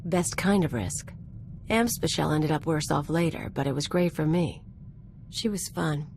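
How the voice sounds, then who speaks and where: Brash, low-voiced outlaw woman. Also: a little slow, vocal fry, a little mellow, slight background noise. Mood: breezy. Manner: casual, clipped, cocky. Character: brash, streetwise female outlaw gunslinger companion. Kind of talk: conversational video game acting.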